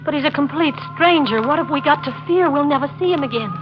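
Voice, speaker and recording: A high-pitched, anxious young wife played by an actress speaks with earnest, pleading devotion. The vintage radio drama sounds dull and lo-fi, with music behind her. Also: fast talker, lightly acted. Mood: worried. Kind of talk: old-time radio acting.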